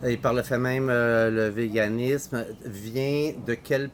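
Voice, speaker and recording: A middle-aged man with a Québécois accent, hosting a casual podcast conversation with a relaxed, hesitant manner and many fillers. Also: medium pitch, takes it slowly. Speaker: podcast host. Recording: faint background noise.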